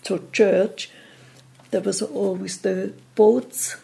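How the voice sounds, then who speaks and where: A woman in her eighties with a Swiss accent, gently recalling memories in an interview with hesitant pauses. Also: mid-range voice, steady tone, gravelly. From Switzerland. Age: 80s. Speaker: interview guest. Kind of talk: casual reminiscing.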